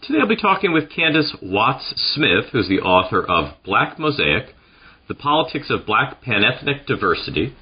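A man's voice: mid-range voice, big pitch swings, normal speed, husky. A man hosting a podcast, asking friendly, hesitant questions with fillers. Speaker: podcast host. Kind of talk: podcast interview. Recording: dull lo-fi audio.

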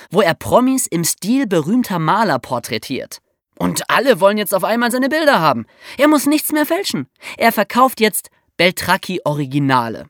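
A woman's voice: mid-range voice, no echo, studio quality. A woman co-hosting a podcast, explaining true crime in a colloquial, conversational storytelling way for young listeners. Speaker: podcast co-host.

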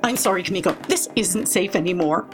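A woman's voice: mid-range voice, a little fast, soft background music, natural voice. A woman playing a defensive, rattled professional caregiver in an audio drama, earnest and emphatic. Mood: anxious, apologetic. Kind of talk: naturalistic audio drama acting.

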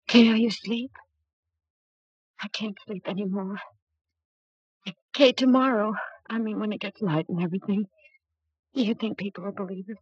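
A woman's voice: medium pitch, slightly rough, no room sound. An actress playing a frightened, pleading young woman in an old-time radio drama, emotional and urgent. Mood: hushed, uneasy. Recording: dull vintage radio recording.

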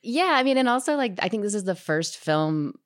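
A woman speaking casually as an interview guest, with halting, reflective phrasing. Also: mid-range voice, very animated, dry recording, studio quality. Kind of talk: casual conversation.

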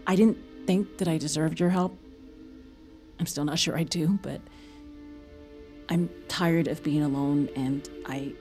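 An actress playing a fragile, haunted, worn-out mother in an audio drama, halting and emotional. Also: low voice, darkish tone.

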